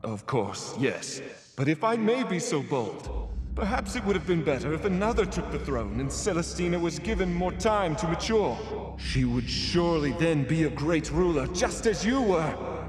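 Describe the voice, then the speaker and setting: A man's voice: on the high side, very animated, normal speed, a crowd in the background. A man voicing a deferential, wry alien coven advisor in a game, with formal, theatrical phrasing. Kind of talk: scripted dramatic game cutscene dialogue. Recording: dialogue over loud music.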